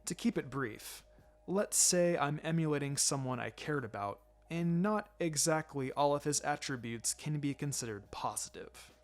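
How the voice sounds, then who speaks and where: A man voicing a laid-back adult male ninja mentor in a fan fiction reading, wry and gently sombre, speaking in a measured, low-key way. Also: animated. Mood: gentle advice. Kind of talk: fan fiction audiobook character acting.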